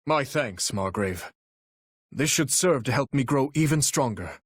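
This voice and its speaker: Noble young warrior prince, animated, earnest. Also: male voice, medium pitch, unhurried, breathy edge, dry recording, clean studio sound. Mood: grave, gracious. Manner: formal, courtly, earnest delivery. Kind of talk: video game battle voice lines.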